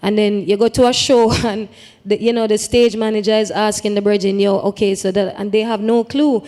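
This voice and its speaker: A woman's voice: mid-range voice, level tone, a little fast, warm tone. A woman with a Jamaican accent, a music artist speaking on a conference panel, thoughtful and drifting into patois phrasing. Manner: reflective, slips into patois phrasing. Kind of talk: panel discussion.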